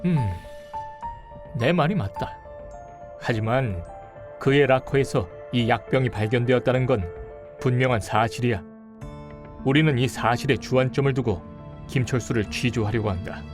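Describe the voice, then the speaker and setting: A man playing a stern, suspicious police detective in an audio drama, speaking deliberately and pointedly. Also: medium pitch, over music, close to an everyday voice. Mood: firm, businesslike.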